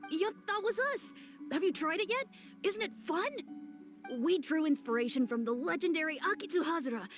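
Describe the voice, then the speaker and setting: Little yokai kid for a game, high voice, plucky n earnest, faint music behind. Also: female voice, normal speed. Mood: earnest, eager. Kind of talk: video game voice acting.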